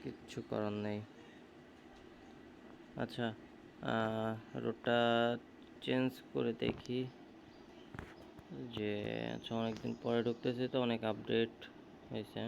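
A man's voice: medium pitch, level tone, slow, gravelly, long pauses, darkish tone. A man giving casual, hesitant, unscripted commentary while playing a game. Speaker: gamer. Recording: faint background noise.

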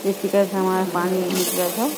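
Flat-voiced woman narrating home cooking. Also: medium pitch, fairly quick. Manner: calm, instructive, addresses viewers as friends. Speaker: cooking channel host. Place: home kitchen at the stove. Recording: loud kitchen noise. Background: frying sizzle and stirring pots.

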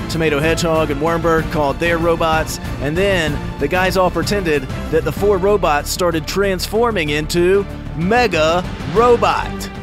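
Male kids' story narrator, higher register, casual and wry, over music. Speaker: children's storyteller and show creator. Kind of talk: scripted story narration for kids.

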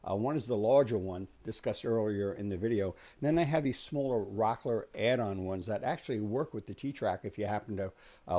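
A man in his sixties giving a casual, unhurried explanatory tour as the host, talking conversationally in a small indoor workshop. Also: lowish voice, dark tone. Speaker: host of a woodworking shop tour.

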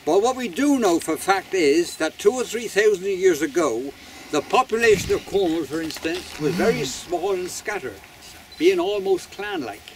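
An older man reading a prepared ceremonial speech outdoors in a formal, measured, declamatory way. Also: sits high, sing-song. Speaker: master of ceremonies, society chairman. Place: open hilltop outdoors. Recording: outdoor recording with faint background noise.

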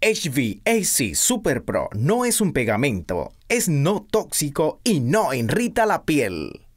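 An instructional narrator of medium pitch reads a product script. The delivery is stiff and read-out, yet the pitch moves up and down a great deal. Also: huge pitch swings, takes it slowly.

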